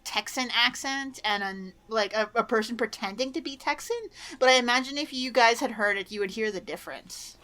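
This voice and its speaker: A woman's voice: a little high, a little fast, hardly any pauses, bright, forceful tone. A woman in her thirties with a Canadian accent, casually recapping a sitcom episode and laughing often. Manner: chatty, laughs often, many "like" fillers. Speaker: podcast host.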